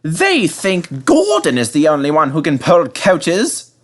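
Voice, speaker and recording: A man's voice: on the high side, wildly swooping pitch, a little slow, pitched above the performer's own voice, full character voice. A man voicing a vain, rude, hot-headed red steam engine in a storybook, puffing with impatience and boasting. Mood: sulky, boastful. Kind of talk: storybook character voice.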